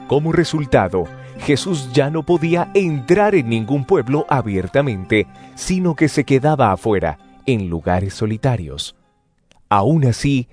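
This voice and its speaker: Casting: male narrator for dramatized scripture, solemn but expressive in intonation, music bed behind. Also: medium pitch.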